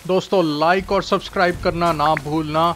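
Fast-talking Indian man, casual gamer. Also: sits high, steady tone, over music. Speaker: gaming video host. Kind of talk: casual gameplay commentary.

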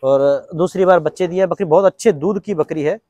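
Polite, devout Rajasthani Hindi farmer. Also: male voice, fairly high pitch, a little fast, warm tone, no room sound. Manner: polite, says sir often, devout phrases. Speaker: goat farm owner. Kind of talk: answering questions in a casual interview. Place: outdoor farmyard by a wall.

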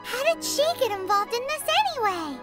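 A tiny floating fairy companion in a fantasy game, very high and lively, blunt and quick to quip. Her voice leaps around in pitch. Clear game music plays behind her. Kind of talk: game voice acting.

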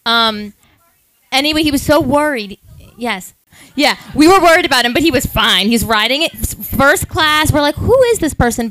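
Excitable rambling woman telling a story. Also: fairly high pitch, no echo, poor audio. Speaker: podcast co-host. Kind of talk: live podcast storytelling before an audience.

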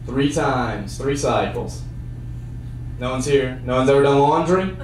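A young man doing a stand-up comedy set with a handheld mic on a theater stage, trading dry one-liners for sudden loud bursts. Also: medium pitch, expressive, measured pace, slight background noise. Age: young adult. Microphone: handheld mic on a cord. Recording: roomy sound from a far camera.

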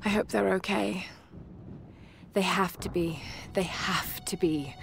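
An actress with a British accent playing a young shipwrecked archaeologist, anxious but determined, in a hesitant, introspective video game journal. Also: female voice, lowish voice, slow pace, vocal fry, a little hiss or hum. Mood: anxious, shaken.

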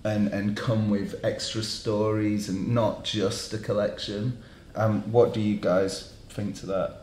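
Fashion journalist chairing a studio panel. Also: male voice, lowish voice, level tone, unhurried, a little mellow, echoey room, a little hiss or hum, rough recording. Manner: rambling, many restarts. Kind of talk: panel discussion. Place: dark studio around a table.

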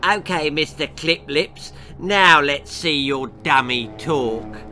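A man's voice: a little high, slow pace, faint music behind, exaggerated voice. An older man voicing a mean young girl who taunts and goads with smug spite in a children's storybook reading. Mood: taunting. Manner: smug, goading, loud.

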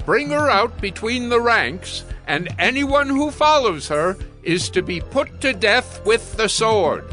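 A commanding priest of ancient times in an audio Bible drama. His high voice is forceful and declamatory, solemn and stern, with faint music underneath. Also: big pitch swings, takes it slowly, full character voice. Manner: declamatory, authoritative.

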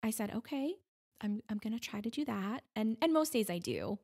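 A woman's voice: on the high side, expressive, normal speed, darkish tone, husky. Chatty middle-aged woman, conversational. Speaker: podcast guest, breast cancer advocate. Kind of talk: conversational interview.

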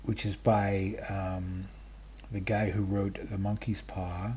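Man in his fifties or older, low voice, soft dark tone, husky, halting casual vlog talk. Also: level tone, slight background noise. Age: 50s or older. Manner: halting, self-interrupting, self-deprecating. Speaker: book vlogger. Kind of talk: casual rambling chat about stories and radio. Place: small room at home, indoors. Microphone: webcam framing, wearing wired earphones.

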